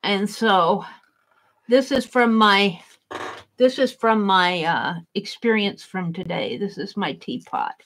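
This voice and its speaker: A woman's voice: medium pitch, takes it slowly. A woman chatting to herself as she works in an art journal at her craft table, musing aloud about what to draw next. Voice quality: loud voice. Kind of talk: casual self-talk while drawing. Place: home craft table, indoors.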